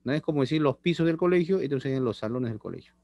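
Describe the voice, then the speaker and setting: A man's voice: medium pitch, animated, fast talker, darkish tone. Man teaching chemistry. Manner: chatty, repeats phrases, checks in with students. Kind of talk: online class lecture. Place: small room indoors. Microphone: laptop webcam on video call.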